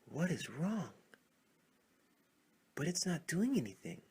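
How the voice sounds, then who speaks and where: A man voicing excitable, emphatic frogs in a storybook tale. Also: a little high, slow pace, gravelly, slightly rough, voice pushed up, full character voice. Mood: puzzled. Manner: exclamatory, emphatic. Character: excitable frogs of a pond, pleading and shouting. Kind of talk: storybook character voices.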